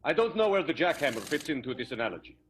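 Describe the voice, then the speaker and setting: A man's voice: on the high side, big pitch swings, rapid-fire, soft background music. A man voicing a pompous, grandiose male stage actor and emcee who speaks theatrically. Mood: indignant. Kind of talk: improvised radio play.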